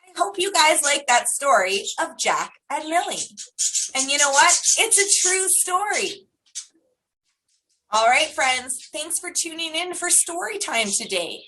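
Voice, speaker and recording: Bright, high-pitched woman telling children a story. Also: poor audio. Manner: animated, simple child-directed delivery with drawn-out emphasis. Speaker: children's storytime host and teacher.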